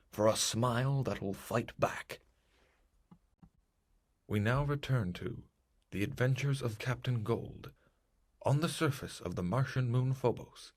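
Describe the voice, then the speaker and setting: A man's voice: mid-range voice, gravelly, slightly rough. A man narrating in the scripted style of a retro radio adventure serial.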